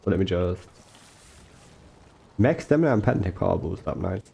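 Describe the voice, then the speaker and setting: Young male gaming commentator, mellow tone, slow soft-spoken rambling delivery. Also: sits low, animated, creaky, highs cut off, slight background noise. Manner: rambling with fillers and self-corrections. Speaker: gaming YouTuber. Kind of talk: relaxed gameplay commentary.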